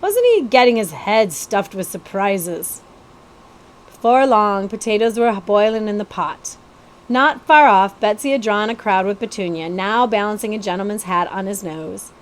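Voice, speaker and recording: A woman's voice: mid-range voice, normal speed. A woman reading a children's novel aloud as a storybook narrator.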